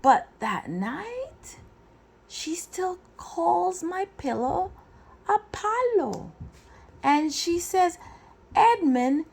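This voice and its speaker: A woman reading a children's picture book aloud in an expressive way, phrasing deliberately and acting out the dialogue. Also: extremely high pitch, creaky. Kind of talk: expressive storybook read-aloud.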